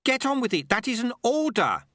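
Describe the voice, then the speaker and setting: A man's voice: very high voice, sing-song, brisk, no echo, clean studio sound, voice pushed up, heavily acted. Man acting a bossy old sweeper monk, raised urgent voice. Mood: brisk, commanding. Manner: commanding, shouting. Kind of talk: audiobook character voice.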